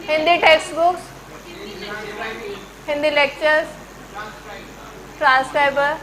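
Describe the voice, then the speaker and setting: A woman with an Indian English accent teaches a workshop in a lecture hall, her voice high and bright. She keeps questioning the audience and drops into Hindi now and then. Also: fairly even intonation, slow. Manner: switches between English and Hindi, quizzes the audience. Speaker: workshop trainer. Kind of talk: interactive classroom teaching.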